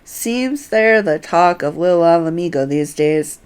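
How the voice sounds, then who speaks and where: A woman's voice: lowish voice, lively intonation, unhurried, a little mellow, smooth. A woman voicing a nosy, knowing townsperson from a fantasy game, gossiping in a folksy manner. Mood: gossipy.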